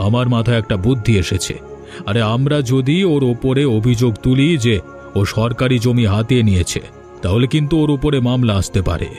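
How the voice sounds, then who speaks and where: A man's voice: mid-range voice, fairly quick, a little mellow, close to an everyday voice. Man acting a cunning, spiteful village uncle, music behind. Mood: scheming. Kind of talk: Bengali cartoon voice acting.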